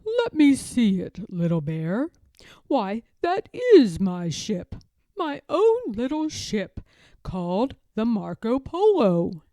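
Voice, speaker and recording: A kindly old grandfather bear, once a sea captain, played by a woman reading a storybook. He talks very slowly and with emphasis, reassuring and drawn out, in a lively rise and fall. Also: on the high side, dry recording, exaggerated voice. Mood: proud, fond.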